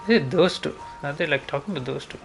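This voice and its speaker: A man's voice: on the high side, fast, creaky, a bit bright. A man giving casual gameplay commentary as a streamer, musing and thinking aloud to himself. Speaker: game streamer. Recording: game music behind the voice.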